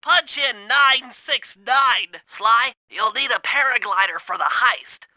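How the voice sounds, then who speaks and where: A man voicing a nerdy, earnest young turtle who plans heists in an animated video game, with a nasal voice and a precise, technical, eager way of briefing. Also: very high-pitched, takes it slowly, no echo, full character voice. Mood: brisk, instructing.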